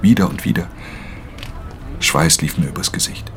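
A man playing a professional pickpocket who recounts his theft in measured, breathy, half-whispered radio drama narration. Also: low-pitched, noisy background, natural voice. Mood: hushed, tense. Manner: measured, hushed storytelling. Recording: music under the voice.

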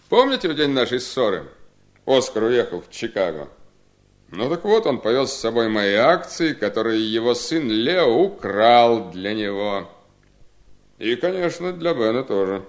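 An actor playing a bitter, resolute husband in a Soviet seventies radio drama, speaking in a deliberate, ironic, emphatic way. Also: male voice, fairly low pitch. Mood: sardonic, accusing. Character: bitter, resolute husband coolly punishing his scheming wife.

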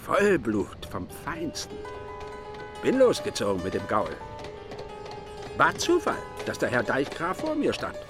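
A man in a German radio play acting a sly, boastful village fiddler who trades horses and tells halting tales. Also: mid-range voice, huge pitch swings, music in the background. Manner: halting, boastful, mock-servile.

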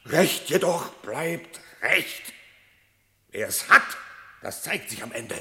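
A bitter wolf denouncing a rival at court in a classic radio drama. His high voice swings widely, drawn out and gnashing, with long reverb around it. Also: lively intonation, slow, pushed and bright, exaggerated voice. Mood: grimly challenging. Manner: indignant, gnashing declamation. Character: embittered, challenging male wolf accusing a rival. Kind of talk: classic German radio drama verse acting. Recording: echoey hall with long reverb.

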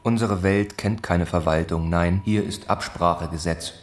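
A man narrating a literary radio play in deliberate, measured short phrases. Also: low voice, steady tone, close to an everyday voice.

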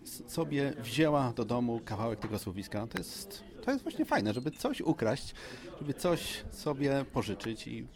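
A man's voice: medium pitch, big pitch swings, moderate pace, a little mellow. A man hosting a podcast with casual on-site interviews, playful and prone to repeating himself. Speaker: podcast host. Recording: busy crowd behind the voice.

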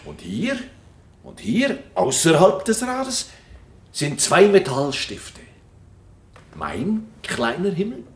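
Wildly swooping visionary science teacher. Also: male voice, fairly high pitch, wildly swooping pitch, heavily acted. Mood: awed, dramatic. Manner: dramatic, deliberate, with stretched words. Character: older, visionary science teacher demonstrating electricity, theatrical and mysterious. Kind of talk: German radio play monologue. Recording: roomy, echoing studio sound.

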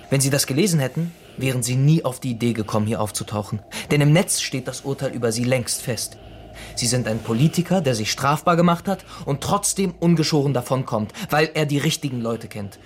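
An actor playing a young working-class blackmailer, radicalised and contemptuous, politely threatening and taunting in a naturalistic radio crime drama. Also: male voice, medium pitch, slight background noise, close to an everyday voice.

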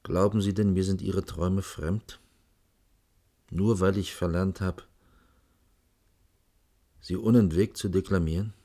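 Deep-voiced, stern senior architect. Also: male voice, fairly even intonation, mellow. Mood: stern, weary. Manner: measured, firm, admonishing. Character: stern, disillusioned male senior architect, pragmatic boss. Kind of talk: German radio drama dialogue.

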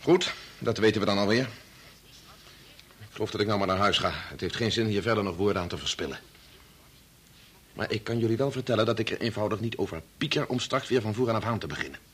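A man's voice: lowish voice, quick pace, husky. An actor in a Dutch radio play, playing a bitter, impatient young man who argues and stammers when thrown. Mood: bitter, indignant. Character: bitter, impatient son working on his father's medical experiment.

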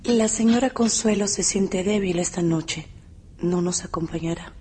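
An actress plays a mysterious young woman in radio drama. She is courteous and restrained, speaking very slowly and formally over faint background noise. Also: lowish voice, dark tone, close to an everyday voice. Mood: reserved, courteous.

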